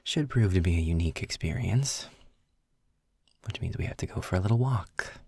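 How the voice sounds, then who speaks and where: A man playing a snarky, weary, well-spoken demon guide in an intimate audio roleplay, with a husky, gravelly voice and a dry, wordy manner. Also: low voice, expressive, a little mellow, no room sound, full character voice. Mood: dryly sardonic. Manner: sarcastic, wordy, dry, deliberate. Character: snarky, weary, erudite male demon guide trapped in hell.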